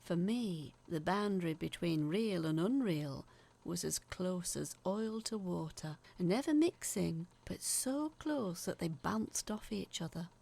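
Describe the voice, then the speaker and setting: Actress as haunted female letter writer for audio drama: confiding storyteller, creaky edge, very lively intonation. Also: lowish voice, unhurried, exaggerated voice. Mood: confiding, wistful. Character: woman letter writer recalling eerie childhood tales, earnest, haunted. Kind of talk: dramatic scripted narration, read as a letter.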